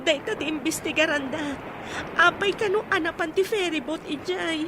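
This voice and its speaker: A woman's voice: extremely high pitch, moderate pace, background noise. A woman with an Ilocano accent playing an earnest, worried young woman explaining grave matters to her elders in a radio drama. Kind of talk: Filipino radio drama acting.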